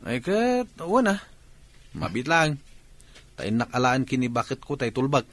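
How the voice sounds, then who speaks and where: Bright, protesting village husband played by an actor. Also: male voice, mid-range voice, big pitch swings, a little slow, no echo, exaggerated voice. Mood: reluctant. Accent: Ilocano. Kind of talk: Ilocano comedy radio drama acting.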